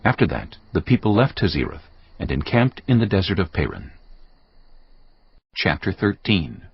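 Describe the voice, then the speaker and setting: Deep, expressive male scripture narrator. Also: moderate pace, slightly rough, lo-fi sound. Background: music.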